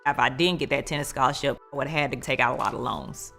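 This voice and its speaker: A woman's voice: very deep voice, fast talker, vocal fry, a bit bright, faint music behind. A woman in her forties telling her story earnestly in a documentary interview. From United States.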